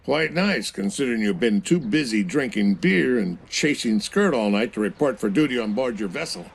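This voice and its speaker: An actor playing a stern older navy admiral giving clipped orders in a video game. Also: male voice, medium pitch, animated, moderate pace, gravelly, slightly rough. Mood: sardonic.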